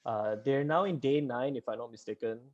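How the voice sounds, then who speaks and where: A man's voice: medium pitch, fairly quick. A man hosting an online townhall for students, chatty and rambling, laughing often and using lots of fillers. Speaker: host. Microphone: video call. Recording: muffled video call audio.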